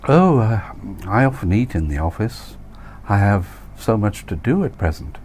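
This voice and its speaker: Coy, polite young female secretary for an audiobook, acted by a man: breathy, lifted pitch, some background noise. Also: big pitch swings, moderate pace, a little mellow. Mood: shy. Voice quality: breathy, with high frills.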